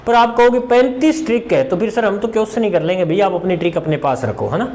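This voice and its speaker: High-pitched male maths teacher. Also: fast, darkish tone, slight background noise. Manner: conversational, asks and answers his own questions as students would; repeats phrases for emphasis. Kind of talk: informal exam-prep lesson.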